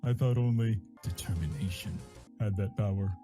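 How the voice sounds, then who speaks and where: A man voicing a laid-back, wisecracking cartoon skeleton with a casual, deadpan manner. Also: on the low side, normal speed, faint music behind, close to an everyday voice.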